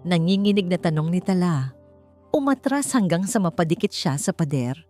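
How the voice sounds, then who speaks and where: A woman's voice: lowish voice, animated, normal speed, darkish tone. A woman reading a scripted romance story as a dramatic narrator. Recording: music under the voice. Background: background music.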